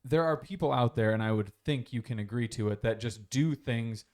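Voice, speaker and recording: A man's voice: medium pitch, brisk, darkish tone. Rambling, foul-mouthed podcast co-host man. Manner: rambling storyteller, swears freely, acts out quotes. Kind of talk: casual podcast chat.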